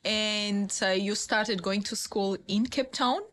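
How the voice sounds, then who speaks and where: A woman's voice: medium pitch, takes it slowly, fairly bright tone. Host: woman, speaking English, hesitant, many fillers. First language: Portuguese. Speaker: podcast host. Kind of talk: podcast interview. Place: small podcast studio. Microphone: desk mic on stand.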